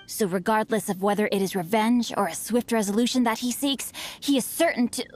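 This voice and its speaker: A woman's voice: fairly high pitch, fairly quick, a little hiss or hum, lightly acted. A woman playing a refined, bookish young swordsman. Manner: eloquent, formal, calmly assured. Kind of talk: video game voice acting.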